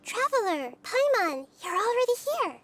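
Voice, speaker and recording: A woman voicing a gentle, wise childlike goddess in a video game, soft and girlish, explaining things thoughtfully. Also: extremely high pitch, very animated, moderate pace, loud music behind the voice. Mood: pleased.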